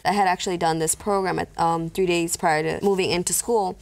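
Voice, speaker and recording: A woman's voice: fairly low pitch, steady tone, brisk, creaky. A woman engineering student from New York, originally from Ecuador, talking in a friendly, straightforward way. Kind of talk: interview answers.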